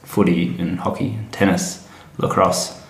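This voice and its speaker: A man's voice: sits low, level tone, unhurried, gravelly, a little hiss or hum. A man from Western Australia with an Australian accent, chatting casually as an interview guest. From Fremantle, Western Australia. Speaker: interview guest, tour operator. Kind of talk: casual chat. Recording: roomy, echoey sound.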